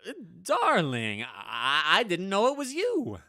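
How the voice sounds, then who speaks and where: Give a radio-play portrait of a man acting a nervous old husband haunted by his witch wife. He talks slowly and haltingly, stammering when flustered, and his pitch swings widely. The sound is close and dry. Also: wildly swooping pitch, bright, forceful tone, no room sound, heavily acted. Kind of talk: old-time radio drama storytelling.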